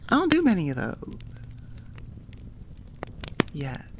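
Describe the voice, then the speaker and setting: A woman talks informally to her listeners in an unhurried, rambling way. Her voice has a lot of vocal fry, and she is self-deprecating and casual. There is clear noise behind her. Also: fairly low pitch, huge pitch swings. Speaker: ASMR audio creator and host.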